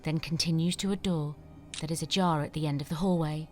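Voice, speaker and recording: A woman's voice: low voice, steady tone, fairly quick, a little mellow. A woman narrator reading screenplay scene descriptions and stage directions as scripted narration, over music.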